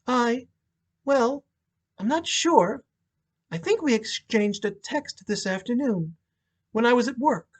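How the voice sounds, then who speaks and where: A male reader plays a dazed new widow in an audiobook-style story. The voice is lifted high and husky, faltering and hesitant. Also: lively intonation, exaggerated voice. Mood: shaken. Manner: halting, faltering. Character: shaken, hesitant newly widowed woman. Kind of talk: audiobook-style dramatic reading.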